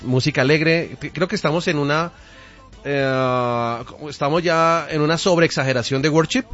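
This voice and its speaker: A man's voice: fairly high pitch, moderate pace, a bit bright, soft background music. A man hosting a radio interview, chatty, joking and enthusiastic. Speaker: radio host. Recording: slightly muffled radio studio.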